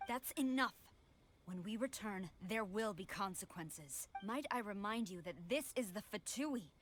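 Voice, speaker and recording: Stern military general. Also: female voice, medium pitch, animated, unhurried, a bit bright, soft background music. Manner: firm, clipped and formal.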